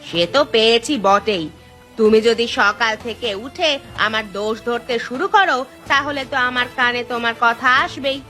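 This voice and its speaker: Woman as quarrelsome village wife, cartoon dialogue, sharp tongue, bright forceful tone, slightly high voice, bossy scolding. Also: moderate pace, faint music behind. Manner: scolding, challenging. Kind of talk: Bengali cartoon dialogue dubbing.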